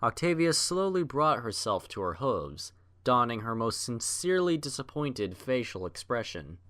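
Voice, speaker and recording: A man narrating a scripted story as an audiobook reader, in measured literary storytelling. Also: medium pitch, big pitch swings, fairly bright tone.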